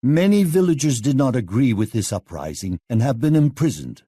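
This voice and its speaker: A man playing a devoted older samurai lord in a game cutscene, speaking in a measured, courtly, theatrical way. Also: mid-range voice, sing-song, warm tone, breathy edge, no echo, clean studio sound. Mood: shrewd. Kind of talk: dramatic game cutscene acting.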